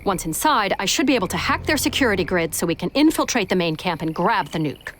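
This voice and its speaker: A woman playing a tough, confident special agent in a video game cutscene, brisk, bossy and teasing. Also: mid-range voice, lively intonation, slight background noise, lightly acted.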